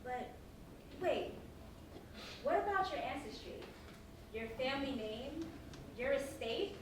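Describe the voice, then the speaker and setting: Woman acting a high-voiced concerned sweetheart, echoey hall. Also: animated, slow. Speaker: stage performer in a musical. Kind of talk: theatrical staged reading with a cappella singing. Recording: very echoey hall, distant mic, faint hiss.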